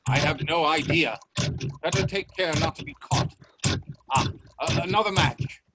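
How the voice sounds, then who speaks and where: A man playing a bold, inquisitive gentleman explorer in a radio play, speaking in deliberate, theatrical statements. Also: on the high side, close to an everyday voice. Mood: determined. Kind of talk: radio play dialogue acting. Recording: faint noise on video-call audio.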